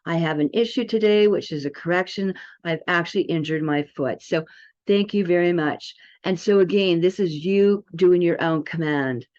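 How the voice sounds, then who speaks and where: A woman's voice: fairly low pitch, darkish tone. A woman giving a casual product demo, chatty and enthusiastic as she speaks directly to viewers. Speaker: presenter demonstrating a health product. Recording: dull, lo-fi sound.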